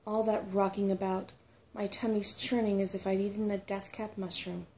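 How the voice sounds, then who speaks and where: A woman voicing an excitable, grumbling small furry female creature in a storybook, blunt and self-important. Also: medium pitch, moderate pace, slight background noise, natural voice. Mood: groaning.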